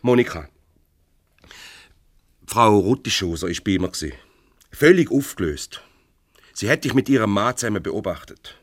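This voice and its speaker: An actor playing a stern, paternal older boss in a radio play, reproachful and patronising, with a Swiss German accent. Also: male voice, on the low side, expressive, moderate pace, long pauses, no room sound, clean studio sound. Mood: grave.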